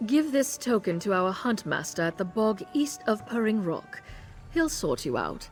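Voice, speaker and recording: A woman's voice: mid-range voice, very animated, normal speed, faint music behind, natural voice. Lady Gisiliane, a haughty noblewoman, as a woman voices her. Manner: imperious, condescending. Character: haughty noblewoman who hosts cruel hunts. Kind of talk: video game voice acting.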